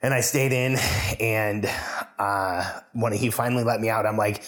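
A man's voice: on the low side, fairly even intonation, measured pace, fairly bright tone. A man hosting a solo podcast, telling a personal story in an earnest, confessional way with frequent restarts. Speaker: podcast host.

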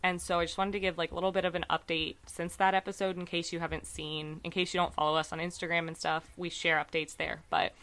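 Bright, slightly raspy woman podcast host. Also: fairly low pitch, level tone, fast talker, few pauses. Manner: chatty, many fillers, earnest about cases. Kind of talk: casual true crime podcast chat.

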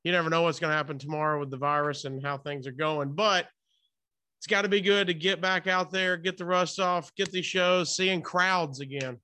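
A man's voice: on the high side, steady tone, moderate pace, sharp tone, clean tone. A man hosting a podcast interview in a casual, enthusiastic, informal way. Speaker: podcast and radio host interviewer. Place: hotel room, remote call.

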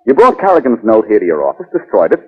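A man's voice: fairly low pitch, huge pitch swings, quick pace, slightly rough, full character voice. A male actor playing a cool, confident, wisecracking amateur detective with wry, needling delivery in a 1940s radio drama. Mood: pressing, assured. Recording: muffled, narrow-band 1940s radio recording.

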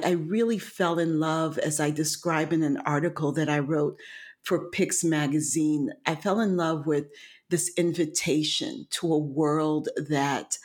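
A woman's voice: low voice, darkish tone. A woman from Haiti whose first languages are Haitian Creole and French, a guest telling her story reflectively with many fillers. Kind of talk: storytelling interview.

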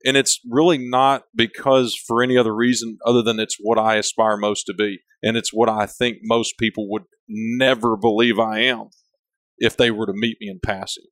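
A big-voiced man with a lowish pitch talks openly as an interview guest. He rambles, circles back and restarts his thoughts mid-sentence. The sound is close and dry, with no room echo. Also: little pitch movement, moderate pace, fairly bright tone. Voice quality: big voice, big personality. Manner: rambling, self-interrupting, candid. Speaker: company leader, interview guest. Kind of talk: casual conversational interview.

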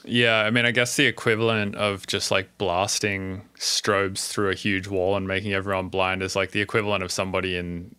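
A man's voice: low voice, pushed and bright, clear, resonant tone, no room sound. A man hosting a podcast, talking in a laid-back, chatty way with plenty of filler words. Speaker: podcast host. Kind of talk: casual podcast chat.

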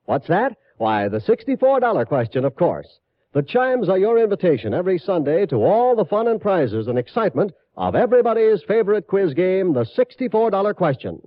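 A man's voice: high-pitched, big pitch swings, a little fast, no room sound. A man reading an upbeat, salesmanlike 1950s radio network promo. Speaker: network announcer. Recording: dry, dull 1950s radio recording.

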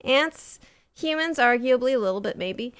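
A woman's voice: high voice, expressive, moderate pace, fairly bright tone, smooth. A woman host explaining a science topic in casual, playful, jokey podcast chat.

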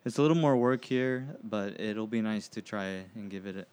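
Chill thirties guy on a podcast, kinda low voice, rambles. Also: moderate pace, darkish tone, clear, resonant tone. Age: 30s. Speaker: podcast guest. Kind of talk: casual podcast chat.

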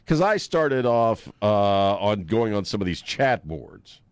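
A man's voice: on the low side, big pitch swings, takes it slowly, clean tone, dry recording. A man hosting a morning talk radio show, stuffed up with a chest cold, wry and jokey as he chats with his co-hosts. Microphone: studio microphone.

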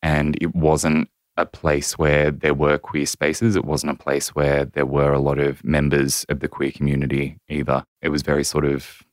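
Very deep-voiced Australian man. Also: monotone, dry recording, clean studio sound. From New South Wales, Australia. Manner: measured, candid, frequent ums. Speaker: podcast guest. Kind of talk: reflective personal storytelling.

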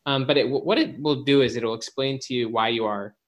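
A man in a casual interview setting, speaking as a guest author. His pitch sits in the middle range for a man, and he explains things directly to whoever is listening. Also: fairly quick. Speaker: guest, author and organizational psychologist.

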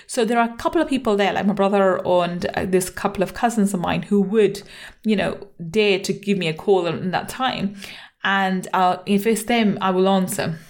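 A middle-aged woman hosting a solo podcast as a coach, talking conversationally and often repeating herself. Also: medium pitch. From England (born in Manchester, grew up in London). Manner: conversational, repeats herself, frequent "you know". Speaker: podcast host, money mindset coach.

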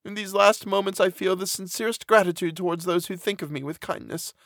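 A man voicing a gentle condemned young woman in an audiobook, mournful, resigned and pious, speaking with earnest tenderness in formal old-fashioned phrases. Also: high-pitched, fairly even intonation, fairly quick, no room sound, studio quality, pitched above the performer's own voice. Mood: grateful, sorrowful. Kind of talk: dramatic audiobook character reading.

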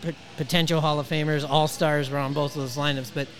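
A man's voice: a little high, little pitch movement, brisk, a bit bright. A man hosting a podcast, chatting casually and enthusiastically like a fan, in a rambling way as he interviews.

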